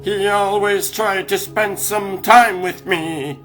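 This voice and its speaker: Young mouse hero narrating , played by a man: slow, slangy, wry, music underneath. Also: high-pitched, fairly even intonation, fairly bright tone. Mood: wry, reflective. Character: young mouse basketball star recounting his troubles. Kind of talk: first-person scripted narration in comic dub.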